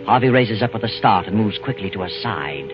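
An actor playing a sinister, mocking, all-knowing narrator in a 1940s radio suspense play. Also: male voice, fairly low pitch, animated, a little fast, over music, close to an everyday voice. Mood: ominous. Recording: muffled old radio recording.

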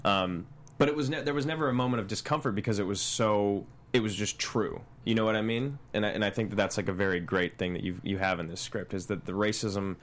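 Deep-voiced man in casual discussion. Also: fairly bright tone. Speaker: panelist at a script table read.

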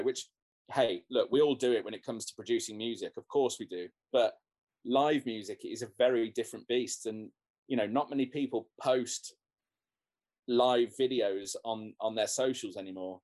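A man at an ordinary middle pitch talks through his thoughts as a musician being interviewed. He rambles, restarting sentences and leaning on fillers as he goes. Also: darkish tone. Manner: rambling, many restarts and "you know"s. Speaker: guest musician. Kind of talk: casual interview chat.

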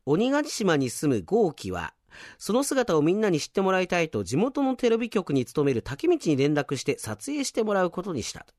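A man's voice: high voice, wildly swooping pitch, moderate pace, darkish tone, no echo, studio quality. A man hosting a radio show, chattily reading out listener submissions and commenting on them casually. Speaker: radio show host and announcer.

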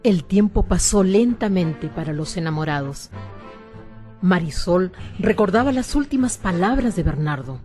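Animated woman narrating a radio drama. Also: lowish voice, measured pace, soft background music.

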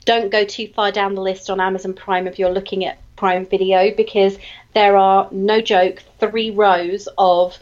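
A British woman co-hosting a casual remote chat, animated and emphatic, with a slight lingering cough. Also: mid-range voice, a little slow, lo-fi sound. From United Kingdom. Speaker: podcast co-host.

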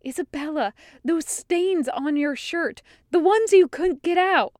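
A woman voicing an excitable, anxious young female mage in an audiobook story, earnest and breathless as she rambles. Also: very high voice, a little slow, no room sound, studio quality, voice pushed up. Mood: urgent, anxious.

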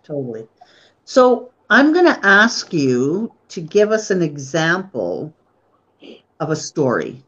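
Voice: medium pitch, huge pitch swings, slow pace, fairly bright tone, breathy edge, muffled recording. A host from the United States whose first language was Polish, talking in a chatty, rambling way full of fillers, on a video call. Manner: chatty, rambling, lots of fillers like "you know". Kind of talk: casual conversation.

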